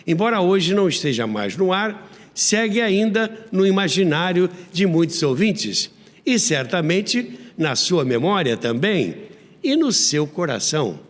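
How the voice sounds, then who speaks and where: Brazilian guy telling history like an old-school radio announcer, very animated, big echoey reverb. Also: high voice, unhurried. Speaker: radio history podcast host. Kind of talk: storytelling narration. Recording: long reverb on voice.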